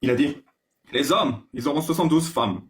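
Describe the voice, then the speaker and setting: A man's voice: a little high, fairly quick, slight background noise. A man hosting a live stream debate, talking in a joking and provocative way.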